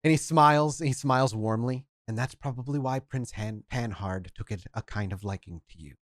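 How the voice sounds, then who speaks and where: A game master narrating a tabletop role-playing scene in a lively, descriptive way. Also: medium pitch, normal speed, no room sound, clean studio sound.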